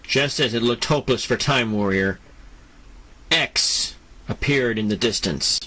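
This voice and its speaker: A man narrating a scripted superhero audio drama in a deliberate style, with dramatic pauses between phrases. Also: medium pitch, noisy background.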